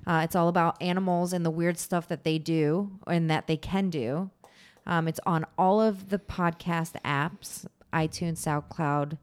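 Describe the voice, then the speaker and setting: A woman's voice: fairly low pitch, fairly even intonation, measured pace. A woman co-hosting a podcast in casual chat, with a soothing voice and a self-deprecating, laughing manner. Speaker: podcast co-host.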